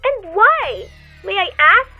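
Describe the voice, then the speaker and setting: A woman playing a neurotic, demanding invalid wife in a radio thriller, with a shrill, complaining manner. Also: sing-song, takes it slowly, background noise, exaggerated voice. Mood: indignant. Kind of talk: old radio thriller acting. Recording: tinny, narrow phone-like sound.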